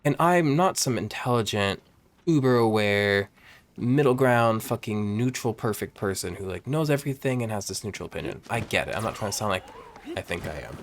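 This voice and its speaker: A man in his late twenties chatting casually in a vlog, rambling and jumping up in pitch when excited, filmed on a phone at home. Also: brisk, slight background noise. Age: 20s. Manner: rambling, animated, swings high when excited. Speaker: vlogger. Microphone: phone camera.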